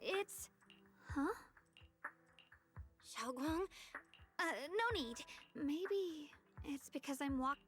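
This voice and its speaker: A woman voicing a soft-spoken, hesitant young girl in an anime-style video game, with music behind her. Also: high-pitched, lively intonation, full character voice. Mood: hesitant, distracted. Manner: halting, hesitant.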